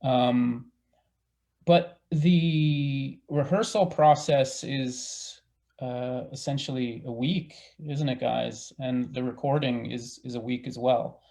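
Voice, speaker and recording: A man speaking as a theater director in a casual panel discussion, haltingly, thinking aloud as he goes. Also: mid-range voice, steady tone, creaky, warm tone.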